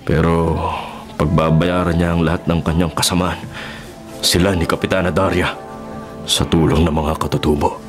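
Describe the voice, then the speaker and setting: An actor playing an earnest Filipino forest ranger in peril in a radio drama, speaking emphatically. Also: male voice, low voice, flat delivery, moderate pace, long pauses, close to an everyday voice. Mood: relieved, solemn. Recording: dramatic music under voice.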